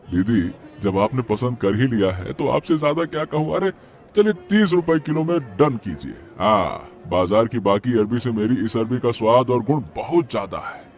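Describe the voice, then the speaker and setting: A man's voice: mid-range voice, very animated, normal speed, a little mellow. An actor playing a humble, earnest working man in a cartoon story, speaking politely in a coaxing, pleading way. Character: earnest humble male vegetable seller and eatery cook, pleading. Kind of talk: Hindi cartoon story voice acting. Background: background music.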